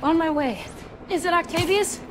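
A woman's voice: very high voice, normal speed, noisy background. A woman playing a young, determined, wisecracking superhero in a video game. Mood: concerned, focused. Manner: wisecracking, earnest. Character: young, quippy, determined masked city superhero. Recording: loud music over the voice. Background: loud game music.

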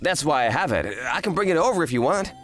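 A woman voicing an earnest, kind teenage boy, an excitable manga fan, in an anime-style romantic comedy dub. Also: sits low, fast, music in the background. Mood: friendly, eager. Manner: earnest, exclamatory.

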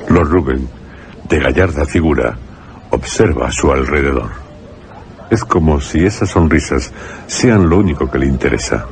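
Extremely deep, gravelly ominous storyteller. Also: male voice, animated, normal speed, heavily acted. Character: ominous male narrator of a gothic vampire tale. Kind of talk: scripted radio drama narration. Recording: noisy background with crowd murmur.

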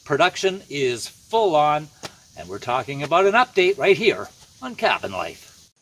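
A man's voice: on the high side, very animated, a little slow, fairly bright tone, a little hiss or hum. An older man hosting a casual outdoor vlog, easygoing and chatty, saying "eh" now and then. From Canada. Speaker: channel host. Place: outdoors at a night campfire in the woods.

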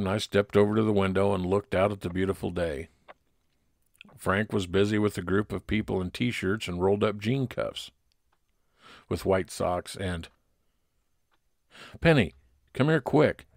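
A man's voice: lowish voice, a little slow, gravelly, pauses often, no room sound, studio quality. A man playing a private investigator who narrates his case plainly, in old time radio detective style. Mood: urgent.

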